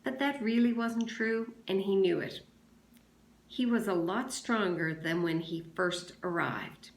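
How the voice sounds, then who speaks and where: A woman's voice: mid-range voice, a little slow. A woman, a teacher, reading a children's novel aloud with expression. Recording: echoey room sound.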